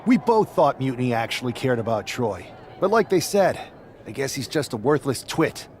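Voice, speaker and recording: A man's voice: medium pitch, sing-song, normal speed. A scheming wrestler in a game cutscene, dismissive in tone. His mid-range voice moves expressively, with faint noise behind. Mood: scornful.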